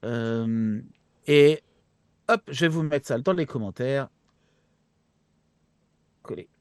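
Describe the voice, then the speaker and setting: Guy casually chatting on a show, medium pitch, repeats words to push a point, dry sound. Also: takes it slowly, smooth, dry recording. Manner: informal, repeats words for emphasis. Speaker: show co-host and columnist. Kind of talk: casual chat, informal announcement.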